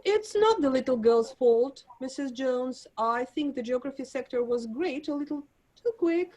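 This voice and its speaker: A woman reading the part of a calm male school inspector, in a reassuring tone. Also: high-pitched, normal speed. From Russia.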